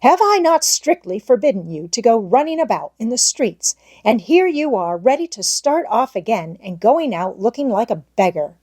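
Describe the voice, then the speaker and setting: A woman's voice: on the high side, very animated, normal speed, hardly any pauses, a little mellow, breathy edge, pitched above the performer's own voice. A woman voicing a strict, haughty lady housekeeper in a storybook, scolding with indignant, imperious delivery. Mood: exasperated scolding.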